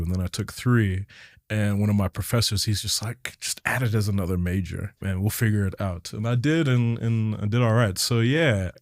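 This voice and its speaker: Lively, low-voiced South African man. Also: no echo, clean studio sound. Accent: South African with some American twang. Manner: laughs often, animated storytelling. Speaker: guest. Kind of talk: casual interview chat.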